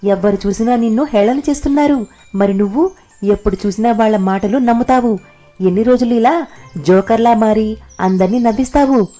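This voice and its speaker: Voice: medium pitch, brisk, mellow, faint music behind, pitched above the performer's own voice, close to an everyday voice. A narrator voicing an exasperated mother who nags and scolds her grown son in a cartoon story. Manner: nagging, scolding.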